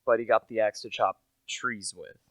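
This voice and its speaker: A man's voice: fairly low pitch, steady tone, normal speed, no room sound, lightly acted. A young man playing a humble, earnest salesman turned amateur sleuth in a radio play, plain-spoken and a little hesitant. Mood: curious. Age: young adult.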